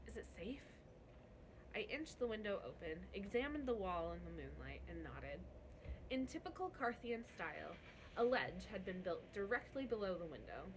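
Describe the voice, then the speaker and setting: A woman reading a novel aloud as a first-person narrator, in an expressive storytelling style. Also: mid-range voice, normal speed, noisy background. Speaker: book reader.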